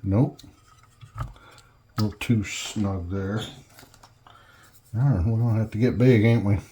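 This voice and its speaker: A man's voice: fairly low pitch, measured pace, dark tone. A man giving casual, hands-on workbench narration in a folksy way, half talking to himself as he works indoors at home. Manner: folksy, muttering to himself while working. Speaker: hobbyist host demonstrating an electronics conversion. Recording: clearly noisy home recording, clicks.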